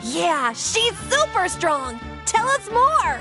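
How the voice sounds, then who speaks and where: A woman's voice: extremely high pitch, sing-song, slow, music in the background, exaggerated voice. A woman voicing an eager young child in a video game, with a childlike tone. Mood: excited, eager.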